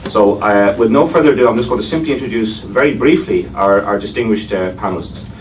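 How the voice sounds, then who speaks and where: A man's voice: lowish voice, fast. An Irish man chairing a panel, introducing speakers with wry asides and many hesitations. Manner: wry, digressive, with frequent hesitations. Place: lecture room before an audience. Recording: distant mic, faint noise.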